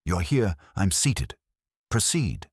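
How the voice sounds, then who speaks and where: Man playing irritable armchair detective, very low voice, terse, formal, commanding, mystery story. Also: very animated, slow, husky, dry recording, clean studio sound, full character voice. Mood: imperious.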